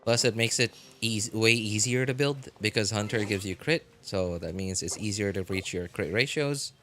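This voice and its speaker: A man live-streaming a game, chatting casually with viewers and answering chat in a relaxed, chatty way. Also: fairly low pitch, normal speed, a little hiss or hum. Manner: frequent fillers and restarts, laughs easily.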